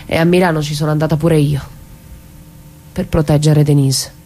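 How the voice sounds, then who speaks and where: A woman's voice: sits low, level tone, normal speed, mellow. A woman playing a defiant, wounded mother in a dramatic radio play, speaking in terse, emphatic lines. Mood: bitter, resolute. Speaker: actor in a dramatic reading.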